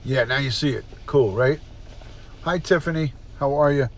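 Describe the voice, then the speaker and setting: A man's voice: mid-range voice, normal speed, lo-fi sound, slight background noise. Congested middle-aged man chatting with viewers. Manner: chatty, greets and answers chat viewers by name. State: congested, stuffed-up nose, sniffling. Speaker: retired police detective, livestream host. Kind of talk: casual livestream chat with viewers.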